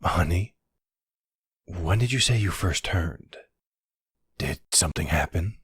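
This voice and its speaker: A man plays a sweet, protective young boyfriend in a roleplay audio. His voice is low and husky, recorded dry and close. He talks tenderly and casually, with an uneasy hesitance. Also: animated, slow, a bit bright, no room sound, heavily acted. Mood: tender, concerned.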